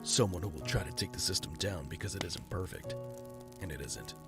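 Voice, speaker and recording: A man playing a wry, guarded rich gentleman in an audio drama, speaking in a dry, understated way. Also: low voice, fairly quick, loud music behind the voice, lightly acted. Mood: measured, serious.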